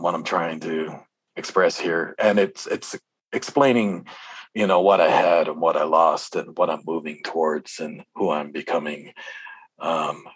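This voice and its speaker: A man giving casual, reflective interview answers as a musician, in a halting, rambling way. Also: deep voice, breathy edge, no echo, poor audio.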